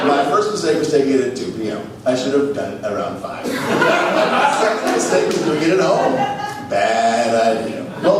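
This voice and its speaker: Animated man hosting in an echoey theater. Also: medium pitch. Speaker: comedy show host. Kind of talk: live comedy reading and storytelling. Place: live on stage in a small theater. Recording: echoey theater, distant mic, noisy.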